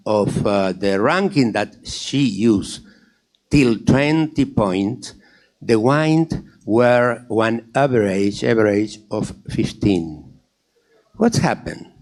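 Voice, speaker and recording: A man's voice: fairly low pitch, a little mellow. A man from Argentina with an Argentine Spanish accent, giving a conference panel talk, emphatic and answering his own rhetorical questions. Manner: repeats phrases for emphasis. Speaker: panel speaker at a wine industry forum.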